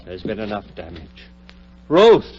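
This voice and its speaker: An actor playing an anguished, obsessive doctor in a 1940s radio horror play, pleading and melodramatic, on a muffled old recording. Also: male voice, on the low side, wildly swooping pitch, unhurried, music in the background. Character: anguished, obsessive doctor desperate over revived sweetheart.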